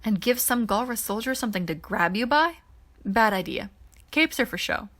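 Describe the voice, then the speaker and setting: A woman's voice: a little high, animated, normal speed, fairly bright tone. A woman voicing a level-headed young male team leader in an audiobook, dry and matter-of-fact. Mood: dismissive.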